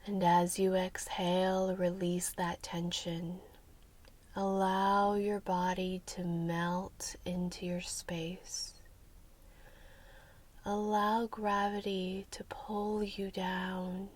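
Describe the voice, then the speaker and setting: Slow, soft-spoken woman in breathy ASMR. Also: on the low side, level tone. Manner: gentle, slow, measured, soothing. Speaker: ASMR host.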